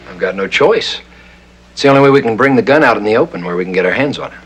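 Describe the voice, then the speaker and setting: An actor playing a cool, menacing masked vigilante posing as a crime boss in a 1960s TV crime drama, speaking in clipped, unhurried threats. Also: male voice, on the low side, lively intonation, fairly bright tone. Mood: resolute. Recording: humming, noisy old TV soundtrack.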